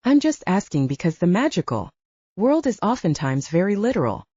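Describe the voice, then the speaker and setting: A woman's voice: medium pitch, big pitch swings, brisk, mellow, husky, dry recording, dull audio. A woman voicing a curious, earnest adult heroine in a paranormal romance audiobook, candid and questioning. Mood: curious, probing.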